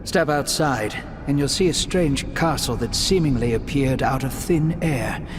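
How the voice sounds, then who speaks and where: A man's voice: mid-range voice, measured pace, noisy background, close to an everyday voice. An actor playing a sly, mocking male schemer in a video game cutscene, arch and airily polite. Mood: smooth, scheming. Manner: airy, arch, formally polite.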